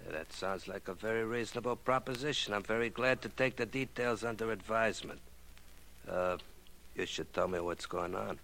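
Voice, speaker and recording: A low, creaky-voiced New gangster boss in forties radio drama style. He talks wry, roundabout underworld slang with cool menace, like a businessman selling rough work. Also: normal speed, a bit bright, slight background noise. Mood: coolly menacing. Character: slangy male racketeer boss, coolly menacing hired killer. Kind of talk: 1940s radio crime drama acting.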